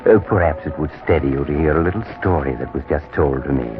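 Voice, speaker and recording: A man's voice: very deep voice, fast talker, music in the background. A man playing a sinister, courteous storyteller in 1940s radio horror, theatrical and ominous, on a muffled old recording. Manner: theatrical, ominous, mock-polite. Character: sinister, courteous male storyteller host of eerie tales.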